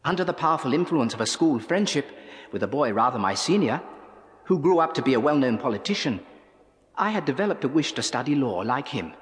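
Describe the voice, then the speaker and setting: An actor playing a learned, dignified male doctor recalling his youth in a formal, measured, reflective way, with long reverb around the voice. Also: medium pitch, big pitch swings, lightly acted. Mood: measured reminiscence. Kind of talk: dramatised memoir monologue.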